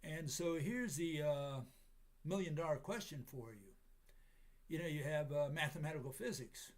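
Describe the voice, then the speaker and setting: Raspy-voiced man lecturing through a cold. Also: fairly high pitch. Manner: halting, many fillers, chuckles at himself. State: hoarse from a cold. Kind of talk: casual livestream lecture.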